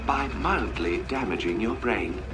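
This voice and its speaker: An actor playing a cold, courtly scientist machine intelligence with a formal, measured, condescending manner, in a video game. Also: male voice, lowish voice, expressive, distant mic, noisy background. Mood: clinical, calm. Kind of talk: dramatic video game acting.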